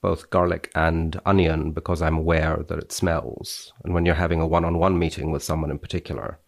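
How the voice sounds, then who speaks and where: A man's voice: a bass voice, flat delivery, brisk, creaky. A man, a researcher appearing as a podcast guest, reading studies dramatically and chatting about them.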